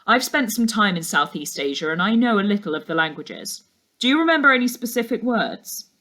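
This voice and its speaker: A woman voicing a serious ex-detective uncle in a storybook, speaking in a measured, authoritative way. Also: mid-range voice, sing-song, sharp tone. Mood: questioning. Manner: measured, commanding, matter-of-fact.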